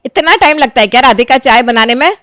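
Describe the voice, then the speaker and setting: A woman's voice: high-pitched, fast, fairly bright tone, no room sound, clean studio sound, voice pushed up. A woman voicing a domineering, melodramatic middle-aged mother-in-law in a cartoon story, nagging and accusing. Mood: angry, accusing. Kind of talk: cartoon moral-story voice acting.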